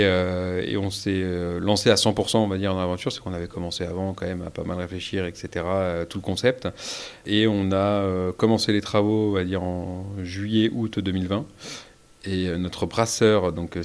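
A man's voice: low voice, darkish tone. A man from Paris, a brewery co-founder, answering interview questions casually with many fillers and restarts.

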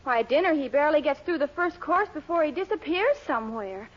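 An American actress playing a worried, bossy young daughter, earnest and dramatic in a 1940s radio sitcom. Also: female voice, high-pitched, animated, quick pace. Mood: worried, suspicious. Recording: muffled 1940s radio recording, studio audience.

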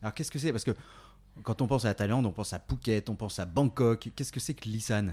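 Hesitant low-voiced male radio host. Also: a little fast, warm tone, slightly rough. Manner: hesitant, frequent fillers and restarts. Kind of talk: interviewing a guest.

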